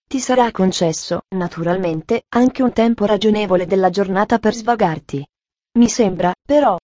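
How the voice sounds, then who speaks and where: A strict, severe middle-aged aunt hands out orders in a dry, commanding voice, as a woman reader acts her out. Her tone is mellow rather than bright, and it is recorded dry and close. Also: medium pitch, no echo, lo-fi sound, natural voice.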